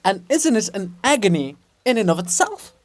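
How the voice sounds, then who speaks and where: A man's voice: high-pitched, very animated, normal speed. A man reading a drama aloud, narrating and giving casual asides. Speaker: reader.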